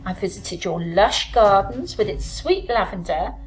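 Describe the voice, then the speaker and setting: A woman playing a bold young gentlewoman in a folk tale, speaking in formal, theatrical, old-fashioned diction. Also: medium pitch, expressive, unhurried, faint music behind, natural voice, poor audio. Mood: pointed, suspenseful. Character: bold young gentlewoman bride recounting a sinister dream.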